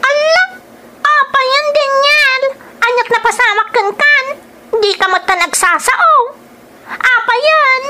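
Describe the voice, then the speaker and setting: An actress with a Filipino accent playing an animated, teasing young female office worker in a radio drama. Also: extremely high pitch, takes it slowly, a little hiss or hum, full character voice. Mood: puzzled.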